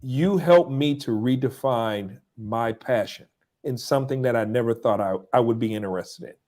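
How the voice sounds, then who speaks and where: A man's voice: mid-range voice, moderate pace, darkish tone. A man on a live stream giving a heartfelt, earnest tribute to his friend and mentor, often repeating himself as he searches for words.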